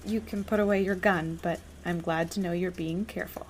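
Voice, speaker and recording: A woman's voice: lowish voice, fairly even intonation, a little fast, a little hiss or hum, close to an everyday voice, poor audio. An actress playing an anxious young woman who has lost her brother, in earnest old-time radio drama style.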